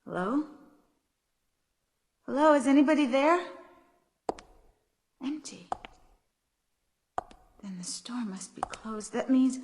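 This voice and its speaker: High-voiced anxious woman shopper. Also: expressive, darkish tone, slight background noise, exaggerated voice. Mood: anxious, pleading. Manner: breathless, pleading, halting and repetitive. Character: frightened woman shopper trapped in a closed store. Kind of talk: radio drama acting. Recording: background music under the voice.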